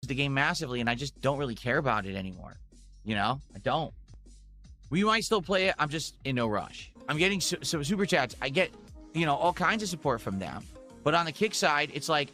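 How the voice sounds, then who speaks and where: Man, game streamer, aggrieved ranting, sharp forceful tone, faint music behind. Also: a little high, expressive, fairly quick. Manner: aggrieved, complaining. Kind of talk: ranting livestream talk to viewers.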